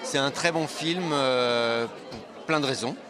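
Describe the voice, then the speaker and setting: Thoughtful male street interviewee, mid pitch, crowd noise around. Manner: measured, articulate with repeated restarts. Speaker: festival-goer. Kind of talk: vox-pop answer.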